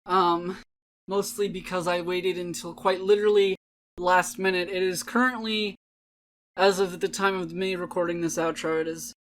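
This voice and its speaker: A woman's voice: fairly low pitch, fairly bright tone, poor audio. A woman giving a casual, rambling, self-deprecating outro as the host of a dramatic-reading channel.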